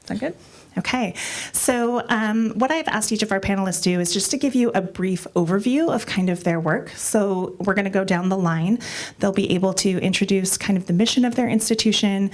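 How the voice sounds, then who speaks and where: A woman's voice: mid-range voice, fairly quick. An American woman moderating a panel, with a strong teacher voice and a chatty manner full of fillers.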